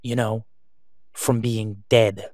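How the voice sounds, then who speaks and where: A man's voice: lowish voice, little pitch movement, slow pace, a little mellow, no echo, studio quality. A man playing a protective, exasperated young vigilante hero in an audio roleplay, speaking earnestly and urgently. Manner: earnest, urging.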